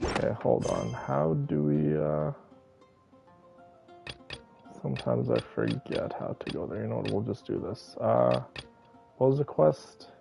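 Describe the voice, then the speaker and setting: Male streamer, relaxed dark tone with plenty of fry, affectionate casual game commentary, faint music. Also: mid-range voice, unhurried, long pauses. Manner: laid-back, affectionate with viewers. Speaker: game livestreamer. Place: bedroom, on a bed. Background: soft game music.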